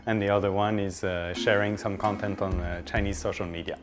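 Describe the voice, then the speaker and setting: French guy, chef, kinda low voice, explains stuff in an interview w pauses, music playing behind him. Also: flat delivery. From northern France. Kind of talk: informal interview testimony.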